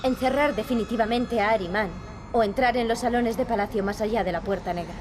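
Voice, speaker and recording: A woman's voice: on the high side, brisk, over music, natural voice. A woman with a Castilian Spanish accent playing an earnest, determined young princess in a video game. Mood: solemn, earnest. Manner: earnest, serious. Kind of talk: video game acting, European Spanish dub.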